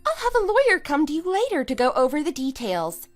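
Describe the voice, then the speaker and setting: A woman's voice: sits high, huge pitch swings, a little fast, soft background music. A woman playing a scornful, triumphant wife in an audio drama, with a sarcastic, emphatic delivery. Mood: cold, firm. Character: wronged wife, scornful and triumphant, kicking out cheating husband. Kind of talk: amateur audio drama acting.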